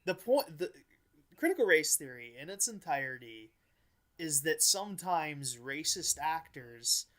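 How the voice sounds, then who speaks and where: A man with a slightly high voice talks as a podcast co-host. His delivery is informal and analytical but choppy, as he hesitates and restarts sentences while working out his point. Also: very animated, unhurried, a bit bright. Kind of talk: casual analytical discussion.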